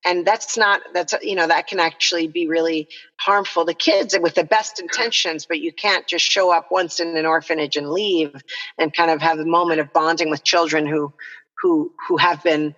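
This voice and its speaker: A woman's voice: low voice, steady tone, moderate pace, a bit bright. A middle-aged woman from El Salvador speaking as a podcast guest, telling her story in an earnest, reflective, explanatory way over a dull, reverberant connection. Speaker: nonprofit co-founder, podcast guest. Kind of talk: conversational interview storytelling.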